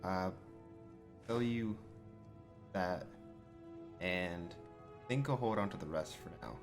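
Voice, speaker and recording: A man with a very low voice plays through a game in character. He muses aloud in a casual, conversational way, as if talking to himself. Game music is clearly audible behind him. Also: slow. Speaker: player role-playing his game character. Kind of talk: in-character video game roleplay commentary.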